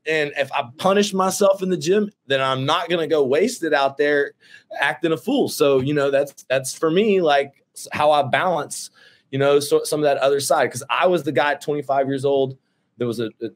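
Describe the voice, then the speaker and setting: Brash excitable man, mid-thirties. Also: a little high. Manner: brash, excitable, swears freely, calls people dude and bro. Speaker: roofing company owner and host. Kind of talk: casual video-call chat. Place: office with shelves.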